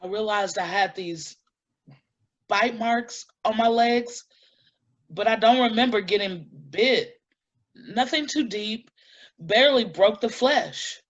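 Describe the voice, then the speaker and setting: An actress playing a shaken, earnest woman giving deliberate testimony in a dramatic staged reading, on a lo-fi recording. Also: mid-range voice. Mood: uneasy. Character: shaken, earnest woman recounting an eerie night in woods.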